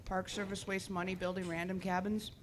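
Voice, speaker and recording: An actress playing a curious, teasing woman technician on a forest hike in a live audio drama, playful and quick to banter. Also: medium pitch, flat delivery, noisy background, natural voice.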